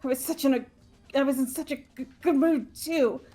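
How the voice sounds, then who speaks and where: A woman voicing a gloomy, nervous teenage girl who stammers resentfully and haltingly in a game dub. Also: high-pitched, fairly even intonation, mellow, lightly acted. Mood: sulky, disgusted. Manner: stuttering, halting, jittery. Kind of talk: fan voice-over of visual novel game.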